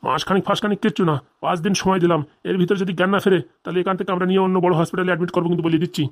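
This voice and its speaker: Someone doing bossy village police guy for a cartoon, stern n threatening, really quick talker. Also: medium pitch, fairly even intonation, close to an everyday voice. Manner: bossy, interrogating, blunt. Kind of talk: comedy cartoon dialogue.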